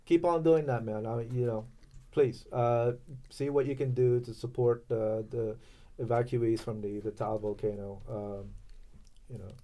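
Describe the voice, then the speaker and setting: Male podcast guest, Los Angeles native, chatty and upbeat, mid-pitched. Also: fairly even intonation, moderate pace, mellow. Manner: chatty, laughs often, encouraging. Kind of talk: casual podcast chat.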